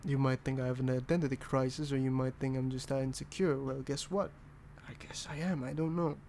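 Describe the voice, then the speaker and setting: A young man from Indonesia with an altered British accent, talking softly and rambling in a boyfriend ASMR roleplay. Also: mid-range voice, fairly even intonation, brisk, creaky, slight background noise. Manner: soft, rambling, self-deprecating.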